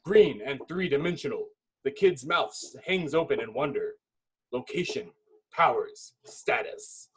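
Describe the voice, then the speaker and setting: A man's voice: mid-range voice, expressive, unhurried, fairly bright tone, breathy edge, rough recording. A man giving dramatic scripted narration, reading a film script's stage directions.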